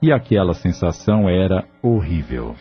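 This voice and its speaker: Low-voiced man narrating an audio novel. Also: big pitch swings. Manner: measured, solemn storytelling. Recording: dull lo-fi audio with faint music.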